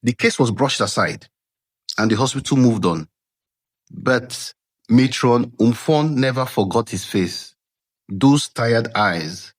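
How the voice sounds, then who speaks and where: A man narrating a scripted folktale dramatically, with measured suspense and deliberate pauses, as a storyteller. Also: mid-range voice, breathy edge. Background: background music.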